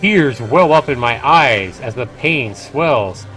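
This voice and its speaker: A man's voice: medium pitch, expressive, slow, a bit bright, soft background music. A man streaming a game, reading a visual novel aloud and adding casual commentary.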